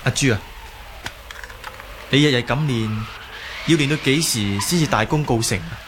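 An actor playing a concerned, good-natured young martial arts hero in a Cantonese radio drama, earnest and hesitant. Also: male voice, medium pitch, normal speed, lightly acted. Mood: earnest, worried. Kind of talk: Cantonese audio drama acting. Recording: clearly noisy background.

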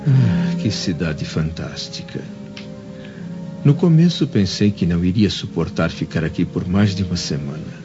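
An actor playing a pondering Roman senator in a radio drama, declamatory, with a Brazilian accent. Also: male voice, sits low, expressive, moderate pace. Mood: admiring. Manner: declamatory, reflective. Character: grave, pondering Roman senator gazing over Jerusalem. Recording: dull lo-fi audio with clear music underneath.

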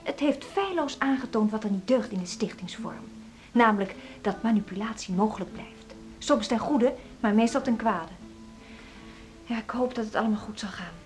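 An actress playing a brisk, principled female music teacher in a radio drama. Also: a little high, lightly acted. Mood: brisk, earnest. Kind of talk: Dutch radio drama acting.

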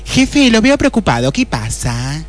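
A woman's voice: deep voice, very animated, a little slow, soft background music. A woman playing a cheeky, scatterbrained secretary with an Argentine accent in a comic radio play, sassy and full of exclamations. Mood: curious, familiar. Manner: exclamatory, sassy, muttering complaints. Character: scatterbrained, cheeky, gossipy female office secretary.

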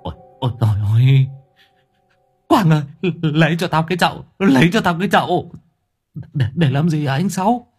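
A man's voice: medium pitch, lively intonation, fast talker, hardly any pauses, dark tone, husky, soft background music. A man voicing a panicky, squeamish male sidekick in a comic ghost story, yelping in frantic exclamations. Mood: panicked, disgusted.

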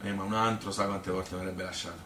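In a roomy, distant and slightly noisy recording, a man plays a Tuscan mother looking back on married life in a theatrical monologue. The voice is on the low side, and the talk is colloquial, hesitant and wandering, often questioning itself. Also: fairly even intonation, fast talker, sharp tone. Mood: reflective. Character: plain-spoken Tuscan mother reminiscing about marriage and pregnancy.

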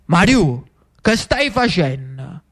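A man's voice: high-pitched, very animated, slow, a bit bright, heavily acted. Man playing solemn, commanding Neapolitan fisherman king. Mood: grave, lordly. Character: grave, commanding fisherman who is secretly a king. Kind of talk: solo theatrical storytelling.

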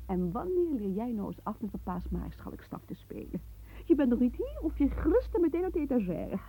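An actress playing a sharp-tongued married daughter of a marshal who teases and mocks her sister, sometimes dropping to a whisper. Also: fairly high pitch, big pitch swings, a little fast, a little hiss or hum, rough recording. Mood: scolding. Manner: teasing, mocking, drops to whispers.

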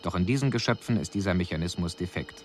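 An actor playing a stern alien investigator who delivers a formal, analytical report with emphasis. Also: male voice, low voice, expressive, fast, background noise. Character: stern alien investigator summarizing findings on humans. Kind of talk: formal scripted report in radio drama.

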